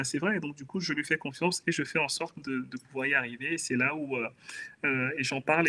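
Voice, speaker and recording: A man's voice: mid-range voice, bright, forceful tone. A man from Benin with a Beninese accent, telling his own life story in an earnest, reflective way as a guest in a casual interview. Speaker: guest, author.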